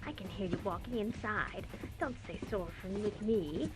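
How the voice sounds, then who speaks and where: A woman's voice: mid-range voice, moderate pace, over loud music, natural voice, poor audio. A woman playing a needy, gleefully psychopathic clownish male supervillain in a parody radio play, wheedling with false innocence. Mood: needy, menacing.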